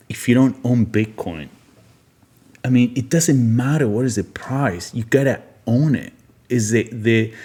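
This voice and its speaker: A man from Argentina hosts an unscripted, opinionated monologue, speaking bluntly and emphatically and often repeating himself. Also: medium pitch. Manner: blunt, emphatic, repetitive, swearing. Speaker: podcast host, physician.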